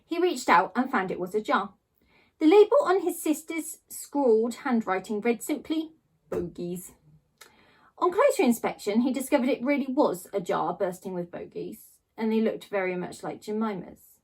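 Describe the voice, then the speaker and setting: A woman reading a children's story aloud in a theatrical way, with dramatic pauses and drawn-out emphasis on key words. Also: fairly high pitch, expressive, a little mellow, breathy edge, rough recording. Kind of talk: expressive children's storybook narration.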